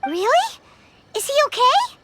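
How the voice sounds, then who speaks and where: A woman voicing a small, excitable, childlike fairy companion in a video game, squeaky and given to blurting things out. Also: a little slow, noisy background, exaggerated voice. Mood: surprised, worried. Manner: exclamatory, blurting.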